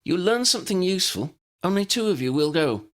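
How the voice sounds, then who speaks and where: A man's voice: sits high, sing-song, fairly quick, voice pushed up. A man doing a flustered, blurting young seer woman.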